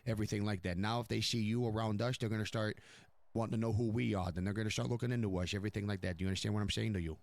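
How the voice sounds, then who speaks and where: A man's voice: lowish voice, fairly even intonation, rapid-fire, creaky, no room sound. A man playing a stern, blunt, profane mafia boss in an improvised online game roleplay. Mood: stern warning. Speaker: streamer role-playing a crime family boss.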